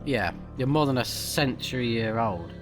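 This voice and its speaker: A man's voice: mid-range voice, lively intonation, normal speed, music in the background. A man hosting a let's play, mixing playful, reactive commentary with reading the game's text aloud.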